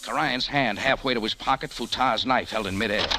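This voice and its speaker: A man's voice: medium pitch, a little fast, background noise, close to an everyday voice. An actor playing a tough, wry private investigator, narrating his case in 1940s radio style. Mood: urgent, suspenseful. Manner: hard-boiled, wry. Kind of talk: 1940s radio acting, first-person narration. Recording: old radio drama recording with music.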